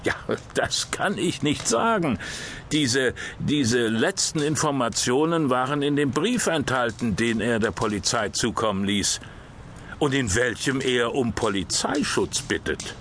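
A male actor playing a sober official who briefs a priest on a case in an audio drama, measured and slightly hesitant. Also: mid-range voice, lively intonation, fairly bright tone, a little hiss or hum. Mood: cautious, factual. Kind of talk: German audio drama dialogue.

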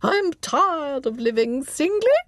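A woman voicing an English nanny who declaims nonsense verse to a child in a drawn-out, theatrical way. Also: high voice, very animated, no room sound, pitched above the performer's own voice, heavily acted. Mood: theatrical, lilting.

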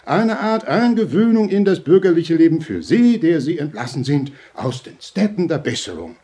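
High-pitched, sanctimonious fatherly institution head. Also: big pitch swings, mellow. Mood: smooth, domineering. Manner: preachy, pompous, drawn-out emphatic phrasing. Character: unctuous, domineering, sanctimonious fatherly head of a halfway house. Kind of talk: German audio drama acting.

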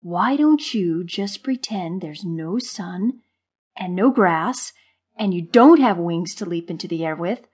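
A woman's voice: lowish voice, animated, slow, darkish tone, husky, full character voice. A woman voicing a cheerful, curious young male caterpillar in a children's story, with an eager, bubbly manner. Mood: teasing, cheerful.

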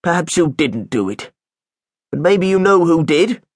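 A man voicing an irritable male school headteacher who snaps and accuses, with a husky voice. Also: a little high, sing-song, unhurried, no echo, clean studio sound, pitched above the performer's own voice, full character voice. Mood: stern. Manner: snapping, impatient, accusing.

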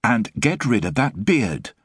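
A man's voice: mid-range voice, very animated, unhurried, breathy edge, dry recording, studio quality, pitched above the performer's own voice. A man voicing an exasperated young mother who scolds her lodger. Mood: scolding. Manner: nagging, scolding. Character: exasperated young woman, sharp-tongued single mother. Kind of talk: audiobook character voice.

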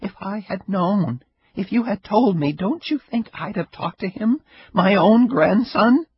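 A man voicing a frail, stubborn elderly grandmother with a sharp tongue, in a husky raised voice. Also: sits high, expressive, unhurried, slight background noise, voice pushed up. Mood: aggrieved. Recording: dull lo-fi recording.

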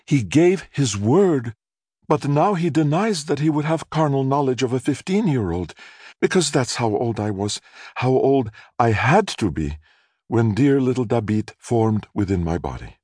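A man's voice: medium pitch, expressive, a little slow, a little mellow, dry recording, clean studio sound, voice pushed up. A man voicing a melodramatic, self-pitying mother in audiobook dialogue, with a theatrical, plaintive delivery. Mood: tearful, wounded.

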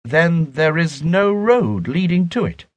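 A man plays a genteel lady in her late twenties from a classic novel. Her voice is raised in pitch, crisp in manner and coolly commanding, recorded dry. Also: expressive, slow, no echo. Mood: curious, commanding.

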